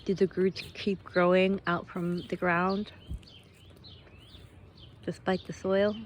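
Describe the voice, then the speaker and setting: A woman giving an unscripted, reflective monologue while out walking in nature, thinking aloud in halting, drawn-out phrases. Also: fairly low pitch, flat delivery, a little hiss or hum. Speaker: host of a personal walking monologue. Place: outdoors on a walk in nature.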